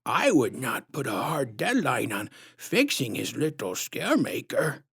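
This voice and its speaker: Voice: medium pitch, very animated, measured pace, vocal fry, fairly bright tone, slightly rough, full character voice. A narrator voicing a huge, sinister female clown who talks in sickly sweet baby-talk rhymes. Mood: sickly sweet menace. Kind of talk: storybook reading.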